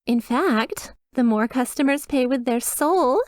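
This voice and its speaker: A woman's voice: high-pitched, animated, unhurried, darkish tone, dry recording, clean studio sound. A woman playing a cheerful demon receptionist in an ASMR roleplay, with polished, reassuring customer-service politeness and a slyly sinister undertone. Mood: smoothly persuasive. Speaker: hotel receptionist character.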